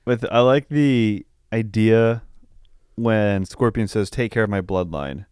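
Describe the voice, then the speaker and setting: Lower-pitched man in casual discussion. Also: takes it slowly, a little mellow, clean tone, dry recording, studio quality. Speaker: podcast co-host reviewing a film. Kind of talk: casual chat.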